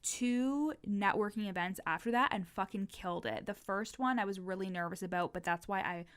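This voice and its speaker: A woman's voice: mid-range voice, fairly quick, smooth. A young woman in her twenties hosting a casual solo podcast, who has a stutter, swears freely and fills her speech with "like". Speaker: podcast host and business coach.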